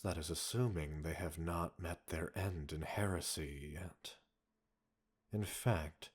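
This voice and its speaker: A man playing a cold, condescending villain scientist in an audio roleplay, a possessive captor speaking in a measured, mocking, formal way. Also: low voice, husky, full character voice. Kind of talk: dramatic audio roleplay acting addressed to listener.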